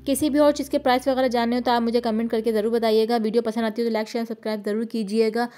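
A woman with a Pakistani Urdu accent, narrating a store-tour vlog and listing prices in a steady, informative voice. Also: on the high side, very fast, slight background noise. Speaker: shopping vlogger. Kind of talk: vlog voice-over. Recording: voice-over with faint music behind.

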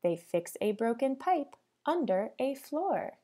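A woman reads aloud like a teacher with young children, in a soft, mellow voice. Her pitch swoops in a sing-song way, and she takes her time, stopping to ask questions. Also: sing-song, slow. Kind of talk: interactive storybook read-aloud for young children.

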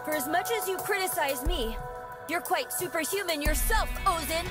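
A woman's voice: very high-pitched, animated, normal speed, over loud music. A woman voicing an earnest young robot boy in an anime-style game, brave but anxious, with dramatic delivery. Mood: defiant. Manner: dramatic, hesitant inner monologue and outbursts.

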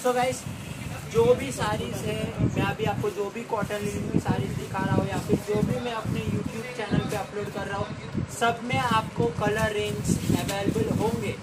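A man's voice: high voice, very noisy. A young man vlogging casually from a small textile shop, showing sarees to viewers in a chatty, joking way. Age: young adult. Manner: chatty, jokey, addresses viewers as guys. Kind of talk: casual product showcase.

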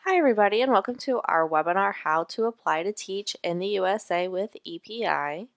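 An American woman from North Carolina hosting a webinar in a scripted, orderly, clear-worded way. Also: medium pitch, lively intonation, fairly quick, over music. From mountains of North Carolina, USA. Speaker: webinar host, communications director.

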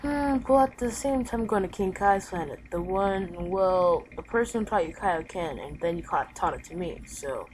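A woman improvising a video game roleplay, talking haltingly with sighs. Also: fairly low pitch, lively intonation, darkish tone. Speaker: player and narrator of a roleplay series. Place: indoors at a computer. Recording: noisy home recording.

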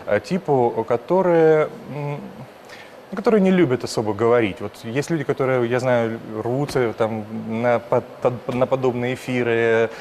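A man in his thirties from Ukraine, a singer answering interview questions in a hesitant way, with pauses and restarts. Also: mid-range voice, a little hiss or hum. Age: 30s. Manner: hesitant, soft-spoken, many pauses and restarts. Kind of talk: casual interview talk.

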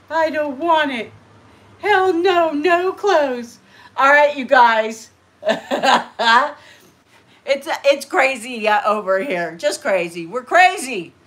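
Woman, middle-aged, higher voice, unhurried, rambling and giggly, livestream chat. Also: fairly bright tone. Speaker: livestream host. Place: living room, green party decorations.

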